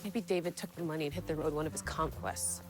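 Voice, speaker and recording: An actress playing a cool, confident private detective in a period TV drama, dry, unflappable and inquisitive. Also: female voice, sits low, fairly even intonation, fast talker, over music, lightly acted. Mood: inquisitive, matter-of-fact.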